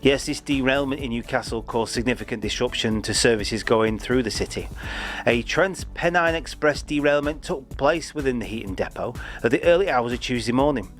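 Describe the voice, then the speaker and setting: A man's voice: mid-range voice, normal speed, soft background music. A man hosting a railway news roundup in a chatty, jokey way, sometimes stumbling over names. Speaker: host of a railway news show. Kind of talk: upbeat news roundup presenting.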